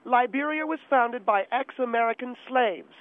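A high-pitched, self-righteous woman played by a man argues as a talk radio guest over a narrow phone line. She is opinionated and condescending, needling whoever she talks to. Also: moderate pace. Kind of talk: talk radio debate in character.

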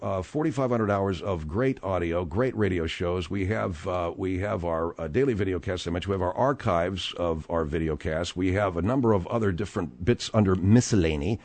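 A man's voice: fairly low pitch, darkish tone. Brash male radio comedy host. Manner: brash, quick plugs and teasing.

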